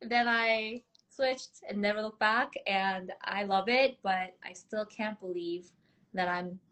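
A woman's voice: medium pitch, slow, fairly bright tone. Bubbly young woman, laughing often. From South Korea. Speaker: podcast guest, dentist. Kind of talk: casual interview answers.

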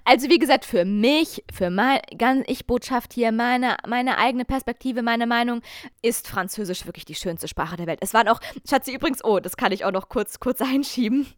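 Chatty young German woman, high and dry. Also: normal speed, no echo. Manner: chatty, animated, self-mocking. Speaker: podcast host. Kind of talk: casual storytelling chat.

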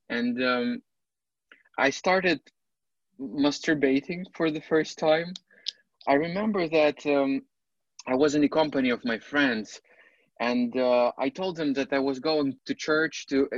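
A man's voice: on the high side, no room sound, lo-fi sound. A man from Ukraine hosting an interview, speaking hesitantly with many fillers. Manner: hesitant, many uhs, friendly. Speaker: host. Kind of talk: casual interview chat.

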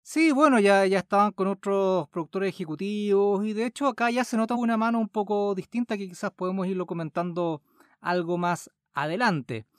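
A man's voice: high voice, brisk, smooth, no room sound, studio quality. A man with a Chilean accent co-hosting a podcast in casual, conversational talk. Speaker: podcast co-host. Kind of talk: casual chat.

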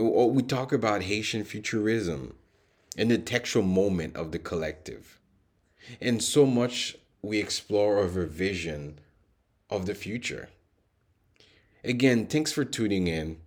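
A man hosting an interview podcast, earnestly introducing the show and his guest. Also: low voice.

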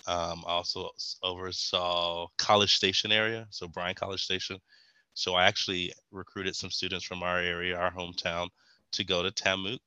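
A man's voice: low-pitched, level tone, normal speed, pushed and bright, smooth, dry recording, lo-fi sound. A young man from Texas, a guest in a casual interview, rambling with frequent ums and restarts. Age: young adult.

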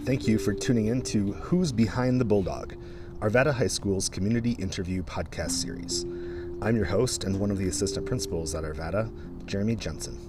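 A man hosting a school community interview podcast as an assistant principal, with a measured, upbeat delivery. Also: on the low side. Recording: music bed under the voice. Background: background music.

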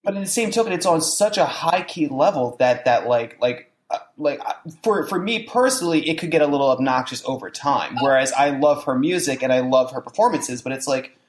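This young host chats loosely and says "like" a lot. The pitch leaps around as they talk. The voice bounces around a reverberant room. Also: a little fast, few pauses. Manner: animated, says "like" a lot, does mocking quoted voices. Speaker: podcast host. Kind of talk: casual chat. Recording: echoey room.

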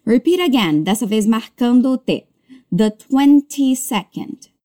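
Woman running an english lesson, drills each word real slow, voice a bit high. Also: lively intonation, dark tone. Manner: slow careful modeling of English words, then brisk explanations. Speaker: English teacher.

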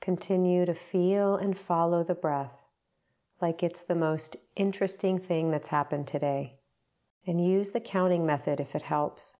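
A woman with a notably low voice leads a guided meditation. She speaks very slowly and gently, in short instructional phrases with long pauses between them. The sound is a little dull and lo-fi. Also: fairly even intonation.

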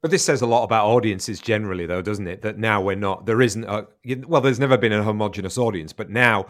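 Low-voiced, hesitant male interviewer. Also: fast talker. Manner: hesitant, restarts, thinking aloud. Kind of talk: conversational interview.

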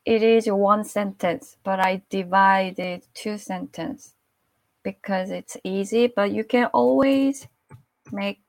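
A woman's voice: medium pitch, vocal fry, darkish tone. A woman with a Korean accent, a native Korean speaker, teaching Korean in English during a live-stream lesson, switching between the two languages and laughing often.

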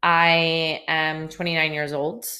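A woman's voice: sits low, little pitch movement, slow pace, sharp tone. A woman in her late twenties hosting a podcast, talking earnestly and candidly, with some restarts. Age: 20s. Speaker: podcast host. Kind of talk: solo podcast monologue.

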